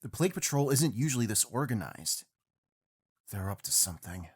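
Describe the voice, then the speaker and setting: A man plays a troubled warrior hero in an audio drama. The voice sits very low and sounds husky, with a quiet, weary gravity. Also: very animated, a little fast. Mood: grim concern. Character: grim, troubled heroic male rider warrior.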